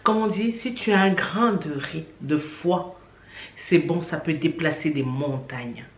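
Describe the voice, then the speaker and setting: A woman's voice: deep voice, measured pace. A woman from Cameroon giving an earnest personal faith testimony, speaking straight to the listener and repeating points for emphasis. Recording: echoey room.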